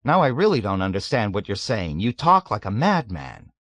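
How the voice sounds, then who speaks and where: A man's voice: lowish voice, wildly swooping pitch, moderate pace, fairly bright tone, dry recording, clean studio sound. A man voicing an earnest young American. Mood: puzzled. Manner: bashful, then outraged, cries out.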